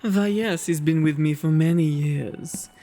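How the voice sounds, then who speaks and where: Man as a slow-spoken, kindly gentleman vampire. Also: fairly high pitch, a little hiss or hum. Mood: genial. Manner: courteous, doting, old-fashioned refined phrasing. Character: kind, genteel male vampire host of an old mansion. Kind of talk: ASMR roleplay acting.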